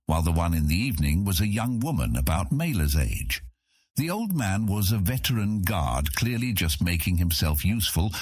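A man with a husky voice reading scripted fantasy narration as an audiobook narrator. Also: low voice, no room sound, clean studio sound.

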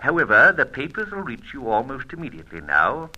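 An actor playing a suave, teasing gentleman adventurer in an old radio serial, with urbane, theatrical diction. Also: medium pitch, wildly swooping pitch, brisk, dull audio, full character voice. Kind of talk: old radio serial acting, with first-person narration.

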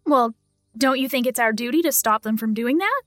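A woman's voice: a little high, animated, brisk, a bit bright, dry recording, studio quality, faint music behind. A woman playing in a tabletop game, speaking eagerly and earnestly in character. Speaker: player.

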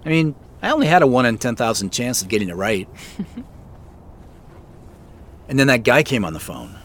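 A man's voice: medium pitch, very animated, moderate pace, slight background noise, natural voice. An actor playing an uneasy, self-deprecating businessman in a naturalistic audio drama, talking hesitantly with small chuckles. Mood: wry, chatty. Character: uneasy, wry businessman searching for answers.

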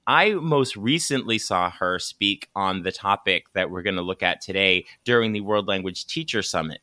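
A man hosting a teaching podcast, upbeat and chatty, his voice a little hoarse from shouting the night before. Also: lowish voice, no room sound, clean studio sound. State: raspy voice from shouting at a school dance. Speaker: podcast host. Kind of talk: podcast interview.

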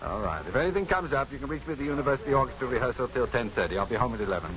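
An actor playing a witty, self-assured clinic doctor in a 1950s radio drama, urbane and authoritative. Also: male voice, mid-range voice, lively intonation, rapid-fire, a crowd in the background, close to an everyday voice. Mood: firm. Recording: muffled, noisy 1950s radio recording.

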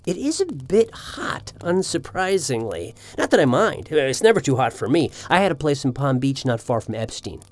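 A man's voice: medium pitch, big pitch swings, normal speed, hardly any pauses, dry recording, natural voice. A man playing an eager, smarmy financial swindler in hell, fawning and oblivious, in a comedic audio drama. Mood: chipper, oblivious.

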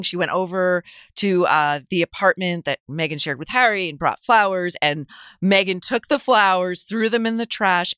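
A woman's voice: lowish voice, a bit bright, clear, resonant tone, no echo. A middle-aged woman giving conversational commentary as a guest columnist, often repeating words as she builds a point.

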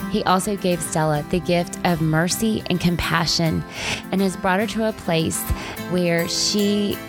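A woman introducing a guest speaker in an earnest, scripted way. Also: lowish voice.

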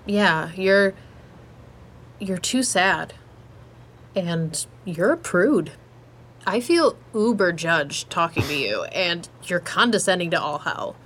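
A woman's voice: on the low side, animated, slow, fairly bright tone, slightly rough, full character voice. A woman acting a brash, foul-mouthed, blunt but caring young woman in a naturalistic audio drama. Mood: defiant, cutting. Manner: blunt, slangy, sweary.

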